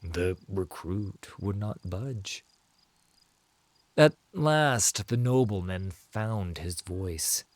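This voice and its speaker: A man narrating a dramatic fantasy story in a measured, solemn manner. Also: low-pitched, lively intonation, dry recording.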